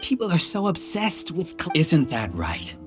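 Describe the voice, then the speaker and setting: An actor playing a smug, persuasive villainous researcher in a video game, with a condescending, grandiose way of talking. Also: male voice, on the high side, wildly swooping pitch, unhurried, over music. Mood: grandiose, bitter. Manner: smooth-tongued, condescending, grandiose.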